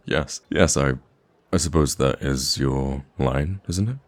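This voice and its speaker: Man acting a very deep, gravelly, gentle prince. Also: full character voice. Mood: friendly, charming. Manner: hesitant, gentle, halting with sighs and stammers. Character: soft-spoken wounded young prince, wistful, gracious, secretly roaming a market. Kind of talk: ASMR roleplay acting.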